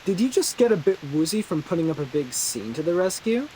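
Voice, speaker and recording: A man's voice: high-pitched, very animated, a little fast, warm tone, a little hiss or hum, poor audio. An actor playing an eccentric, theatrical young man who loves horror, in a video game. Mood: teasing. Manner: theatrical, teasing, grandiose. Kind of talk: English video game character acting.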